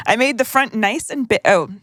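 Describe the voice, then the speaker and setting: A woman's voice: fairly high pitch, expressive, unhurried, fairly bright tone, dry recording. Profane young woman podcast co-host. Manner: profane, joking asides while reading. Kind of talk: casual chat, reading listener letters aloud.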